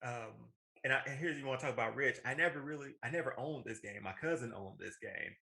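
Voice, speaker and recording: Male podcast co-host, bright forceful voice at middle pitch, casual and emphatic storyteller. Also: fast talker, vocal fry. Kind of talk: casual opinionated chat about films.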